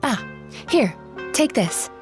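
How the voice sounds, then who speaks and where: An actress voicing a young, polite, gentle yokai woman who eats dreams and works at a spa, in a video game. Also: a little high, very animated, slow. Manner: polite, soft, apologetic. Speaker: spa worker and psychologist. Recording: game audio with faint music.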